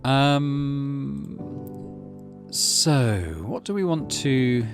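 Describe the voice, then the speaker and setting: A man's voice: mid-range voice, expressive, very slow, creaky. A man hosting a gaming let's play, chatting and thinking aloud between stretches of read-out narration. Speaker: gaming video host. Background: game music.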